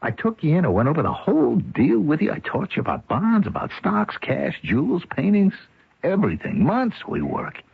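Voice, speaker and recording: An actor playing a gruff, domineering male con artist with condescending, slangy tough-guy talk in a radio drama. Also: on the high side, wildly swooping pitch, normal speed, no room sound, full character voice. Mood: angry, scolding. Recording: muffled, phone-like old radio recording.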